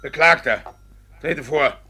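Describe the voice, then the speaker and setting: An actor playing a devious, blustering village judge in a classic stage comedy for radio, stammering and evasive. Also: male voice, fairly high pitch, slow pace, pushed and bright, slightly rough, exaggerated voice. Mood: barking, imperious.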